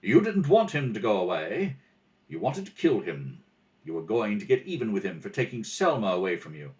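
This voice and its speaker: A man playing a shrewd, composed detective in a radio mystery, measured, pointed and wry. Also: lowish voice, big pitch swings, darkish tone, pitched below the performer's own voice. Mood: probing, accusing. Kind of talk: old-time radio mystery acting.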